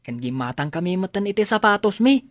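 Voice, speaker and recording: A woman's voice: mid-range voice, very animated, quick pace, no echo, clean studio sound, lightly acted. A woman playing a polite, cheerful young school pupil in an Ilocano radio drama, respectful and eager. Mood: respectful, glad.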